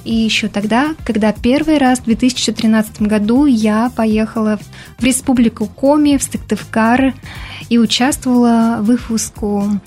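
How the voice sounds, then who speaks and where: A young woman from Bashkortostan speaks as a guest in a radio studio. Her voice sits a little high, and she explains things earnestly, pausing on fillers now and then. Faint music plays under her. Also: darkish tone. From Bashkortostan, Russia. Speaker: radio guest, Mari language activist. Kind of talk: radio interview answers.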